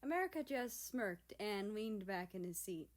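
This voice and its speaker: A woman's voice: mid-range voice, expressive, moderate pace. A woman narrating scripted fiction as a story reader.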